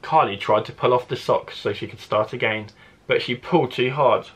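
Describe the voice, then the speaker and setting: A male primary school teacher reads aloud for children. His voice is mid-pitched and a little husky, and he tells the story expressively with careful, deliberate phrasing. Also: a bit bright.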